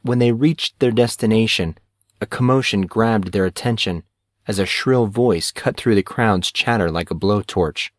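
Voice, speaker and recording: A man reads fiction in a fairly low voice, as scripted dramatic narration. The sound is dry, with very little room. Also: normal speed, no room sound. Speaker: audiobook narrator.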